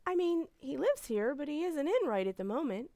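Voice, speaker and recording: Woman playing a high, lively, proper young daughter. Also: moderate pace, dry recording. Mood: flustered. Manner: proper, easily flustered. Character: polite, flustered young daughter Mary. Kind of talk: radio comedy stage acting.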